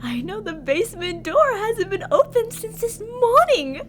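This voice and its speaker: A high-voiced, obsessive female captor, acted by a woman in an ASMR audio roleplay. She coos sweetly and threatens in the same breath, her pitch swinging theatrically. There is clear noise behind her voice. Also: very animated, unhurried, exaggerated voice. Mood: coaxing, threatening. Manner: sugary endearments, menacing, theatrical. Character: obsessive yandere woman captor, doting yet menacing.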